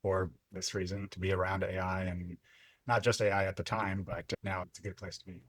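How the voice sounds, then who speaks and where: A man in his fifties, originally from Lebanon, telling his story as a podcast guest in a reflective, unhurried way. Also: low voice, little pitch movement, no room sound. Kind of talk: casual interview chat.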